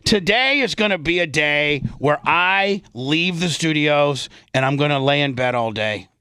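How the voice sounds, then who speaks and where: A male radio host with a fairly high voice talks off the cuff in a very dry studio. He is blunt and salty-tongued, speaking in an unscripted radio style. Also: lively intonation, a little slow, pushed and bright, clean tone, no room sound.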